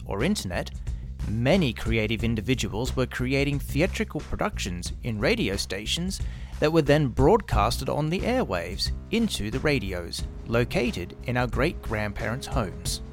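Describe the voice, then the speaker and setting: Lively Australian man narrating over music. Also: medium pitch. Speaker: podcast host and drama workshop creator. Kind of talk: scripted promotional narration. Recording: music behind the voice.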